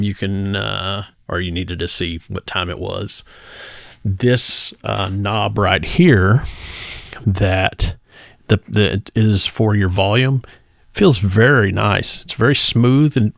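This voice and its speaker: A man giving a casual, conversational product demonstration as a gadget reviewer, speaking hesitantly with pauses mid-phrase. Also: lowish voice, steady tone, gravelly, no echo, clean studio sound.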